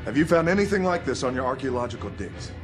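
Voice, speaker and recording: An actor playing a forceful, demanding male soldier in a sci-fi horror film, commanding and profane. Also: medium pitch, animated, quick pace, lightly acted. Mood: urgent, commanding. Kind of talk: film acting. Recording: film clip with music behind.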